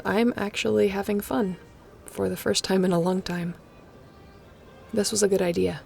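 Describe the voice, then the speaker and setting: Starship captain, female actress in role: low, very gravelly, sardonic and guarded, intimate sci-fi audio drama with light music underneath. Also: a little mellow. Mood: relaxed, teasing. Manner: sardonic, conversational, sighing and teasing. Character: wry, guarded woman starship captain and ace pilot. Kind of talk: intimate scripted audio roleplay acting.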